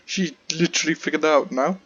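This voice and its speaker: A man's voice: a little high, quick pace, dull audio, heavy background noise. A man giving casual gameplay commentary as a let's play host, with short, offhand remarks.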